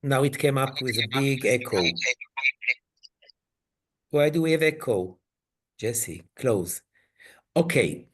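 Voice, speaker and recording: A man leading an online coaching webinar, speaking in slow, halting phrases. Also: mid-range voice, expressive. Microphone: video call.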